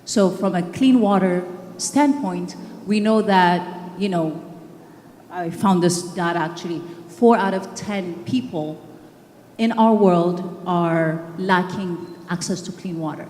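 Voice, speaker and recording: A woman's voice: fairly low pitch, takes it slowly, a little mellow, a little hiss or hum. A middle-aged woman from Ethiopia speaking earnestly on a conference panel, with many fillers and restarts. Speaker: panelist, games organizer. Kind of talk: panel discussion. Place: stage at a conference hall. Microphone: handheld mic. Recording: echoey hall, faint ambience.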